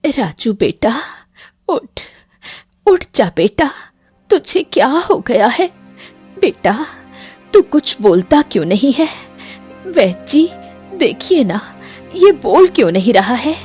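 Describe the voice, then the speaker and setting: A woman's voice: a little high, big pitch swings, slow, a little mellow, soft background music, pitched above the performer's own voice. Woman as a distraught, tearful village mother. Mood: pleading. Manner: pleading, tearful. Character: distraught poor village mother of a sick boy.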